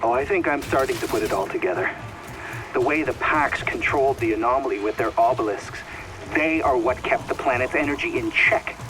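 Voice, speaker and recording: A man's voice: medium pitch, a little fast, noisy background, close to an everyday voice. An actor playing a thoughtful man in a video game, reasoning things out aloud. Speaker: game character. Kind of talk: video game cutscene acting. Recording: distant voice under loud game music.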